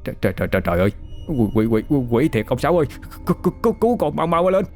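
A man's voice: big pitch swings, very fast, darkish tone, faint music behind. A man with a Southern Vietnamese accent voicing a chubby, timid young lad who stammers in panic. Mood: terrified stammer. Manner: stammering, panicked, squeaky when scared.

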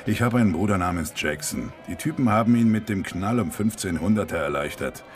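Very deep, sly streetwise informant. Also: male voice, expressive, a little fast, soft background music. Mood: sly, scheming. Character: sly streetwise male informant who quotes scripture. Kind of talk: German radio crime drama acting.

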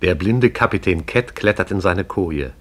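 A man narrating a radio play in a measured, poetic manner. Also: low voice, animated.

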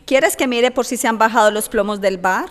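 A woman's voice: fairly high pitch, a little fast, close to an everyday voice. A woman from playing a helpful, practical neighbour in a scripted radio drama.

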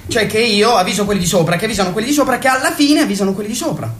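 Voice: mid-range voice, very fast, roomy sound, a little hiss or hum, close to an everyday voice. A performer playing a weary, sarcastic grown son who works in a factory and argues with his mother in a radio play. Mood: exasperated. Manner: sullen, exasperated, sarcastic.

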